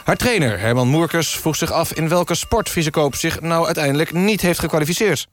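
A man playing a serious radio newsreader, delivering a scripted satirical bulletin in deadpan fashion. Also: on the high side, brisk, close to an everyday voice. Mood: deadpan, solemn. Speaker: news presenter.

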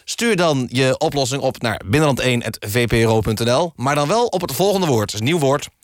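A man acts as a male host of a mock news radio magazine. He is deadpan and chatty, with hesitant pauses and a medium-pitched voice. The studio sound is very dry. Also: no echo, lightly acted. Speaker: radio presenter. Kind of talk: mock public-radio presenting, conversational.

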